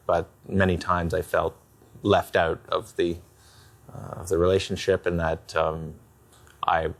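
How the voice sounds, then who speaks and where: A man giving a candid personal account in a hesitant, halting way. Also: low-pitched, gravelly. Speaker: husband in couples counselling.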